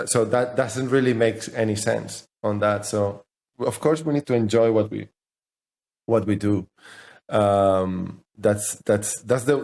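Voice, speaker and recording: A man speaks English in a fairly low voice. He answers as an interview guest, conversational but halting, doubling words while he finds the next phrase. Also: darkish tone. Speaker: company CEO, interview guest.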